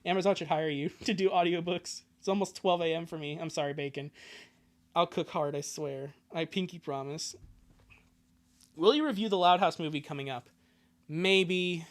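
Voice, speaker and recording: A man's voice: on the high side, normal speed. A man chatting casually on a livestream as an amateur impressionist, rambling and stammering with jokey asides. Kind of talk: casual livestream chat and reading aloud.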